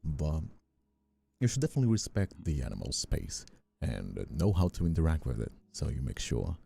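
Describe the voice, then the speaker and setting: A man in a casual livestream chat, explaining things haltingly and repeating words as he goes. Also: rumbling low, very animated, vocal fry, mellow, slightly rough. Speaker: VTuber streamer.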